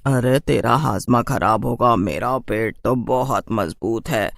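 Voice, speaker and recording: A man voicing a sly, boastful city witch in a cartoon horror story, in a slow drawl. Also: mid-range voice, vocal fry, dry recording, clean studio sound, voice pushed down.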